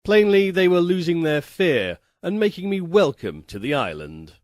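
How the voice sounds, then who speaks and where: A man narrating a literary first-person story as an audiobook reader, with a measured, theatrical, formal delivery. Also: on the high side, sing-song, no echo, clean studio sound.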